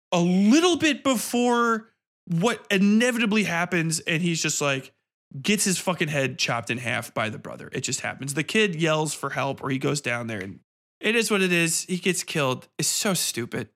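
A man with a slightly high voice talks as a podcast host reviewing a film. He is casual and opinionated, swearing freely and sounding incredulous. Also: lively intonation, unhurried, a bit bright. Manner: profane, incredulous.

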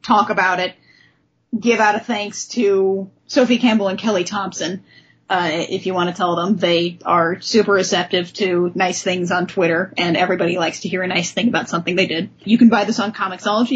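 A woman's voice: lowish voice, steady tone, a little fast, slight background noise. A woman hosting a discussion podcast about comic books. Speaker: podcast host. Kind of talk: comic book discussion with dramatic letter reading. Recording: dull, lo-fi podcast audio.

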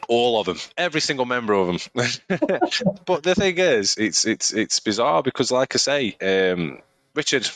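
A man's voice: medium pitch, sing-song, normal speed, fairly bright tone, dry recording. A man co-hosting a podcast, chatting casually and rambling with restarts. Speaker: podcast co-host, amateur runner. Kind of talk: casual chat.

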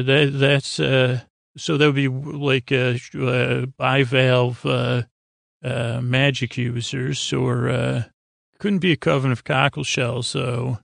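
Creaky-voiced man rambling through a bedtime podcast. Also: medium pitch, fairly bright tone, no room sound, clean studio sound. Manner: meandering, halting, stumbles and repeats words, tangential. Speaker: podcast host.

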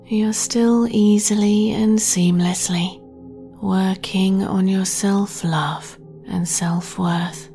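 A woman hosting a guided sleep meditation in a soft, breathy half-whisper, gentle and soothing. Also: medium pitch, fairly even intonation, faint music behind. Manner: slow, soothing, gentle delivery.

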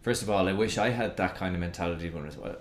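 A man's voice: sits low, very fast. A man hosting a podcast interview, asking thoughtful questions with hesitant fillers. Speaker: podcast host, teacher. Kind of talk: casual interview chat. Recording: roomy echo.

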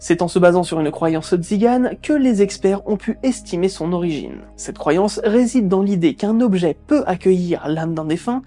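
Mellow-voiced woman narrating an occult story. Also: moderate pace, hardly any pauses, soft background music. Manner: lively, sarcastic asides with squeaky put-on voices. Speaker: host.

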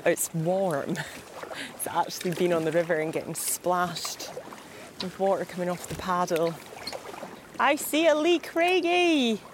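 A woman's voice: lowish voice, expressive, a little slow, noisy background. A woman from Scotland with a Scottish accent, chatting cheerfully in a video diary while rafting outdoors on a river. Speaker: adventurer on a river journey.

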